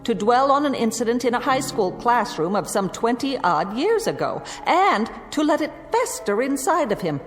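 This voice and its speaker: A scornful, animated older schoolteacher. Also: female voice, on the high side, normal speed, hardly any pauses. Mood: cool disdain. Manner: precise, cutting, haughty. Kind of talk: classic radio drama acting. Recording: reverberant hall, music underneath.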